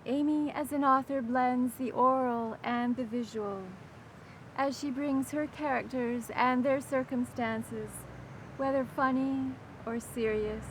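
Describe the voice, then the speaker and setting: An older woman presenter giving a prepared, formal introduction with measured, deliberate phrasing. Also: fairly even intonation, low-quality recording. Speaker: event presenter introducing an author. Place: outdoor garden by a brick wall. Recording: rumbly outdoor background noise.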